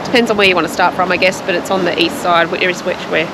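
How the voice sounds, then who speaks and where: Woman with a gravelly voice, outdoors. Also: fairly low pitch, fairly even intonation, fast talker, noisy background. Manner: chatty, explains route and facts. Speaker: travel vlogger. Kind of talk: casual travel vlog chat. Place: outdoors on a roadside in rural country.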